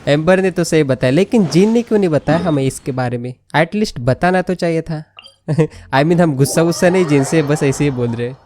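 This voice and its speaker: A woman's voice: low-pitched, racing, warm tone, a little hiss or hum. A woman with an Indian accent giving casual, informal gameplay commentary as the host, reacting to the game in a giggly way. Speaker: gaming video host. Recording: game music behind commentary.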